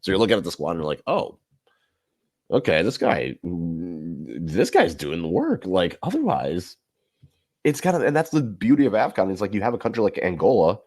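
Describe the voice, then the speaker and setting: Very deep-voiced man talking football. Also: very animated, moderate pace, darkish tone, dry recording, clean studio sound. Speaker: podcast co-host discussing football. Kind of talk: casual sports discussion.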